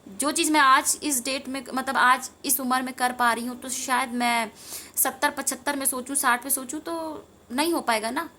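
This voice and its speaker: High, bright-voiced woman in informal spiritual talk. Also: steady tone, moderate pace, slight background noise. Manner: earnest, rambling, repeats phrases for emphasis. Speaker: tarot reader and meditation teacher.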